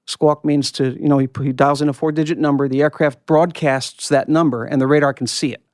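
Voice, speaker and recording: A man's voice: a little high, fairly even intonation, a little fast, darkish tone, dry recording, studio quality. A man being interviewed as a guest, explaining technical procedures and recounting experiences in conversational detail. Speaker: guest, former air traffic controller and pilot.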